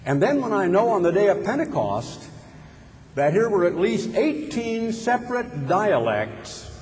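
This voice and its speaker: A man's voice: sits high, very animated, measured pace, a little mellow, soft background music. A man preaching a sermon, building his points with rhetorical questions and sudden emphatic rises. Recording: long hall reverb with faint ambient noise.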